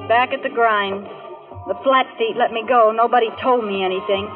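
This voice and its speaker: Tough young dance hall hostess played by a woman, high voice, wary and slangy, old tinny radio crime drama with music underneath. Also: steady tone, normal speed, natural voice. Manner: hard-boiled, slangy, wary.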